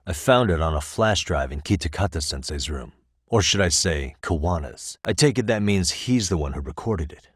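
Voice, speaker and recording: A deep American male detective for a dramatic video game, recorded close and dry. He is composed and probing, questioning in a controlled, pointed way with a wry, hard edge and some huskiness to the tone. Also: husky, no room sound. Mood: probing, firm. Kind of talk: video game voice acting, dramatic interrogation dialogue.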